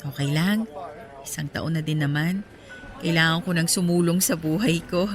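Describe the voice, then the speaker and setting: Woman acting a gentle grieving woman, music behind. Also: sits low, steady tone, normal speed, natural voice. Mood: sad, subdued. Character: grieving young working woman, gentle and wistful. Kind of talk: radio drama acting.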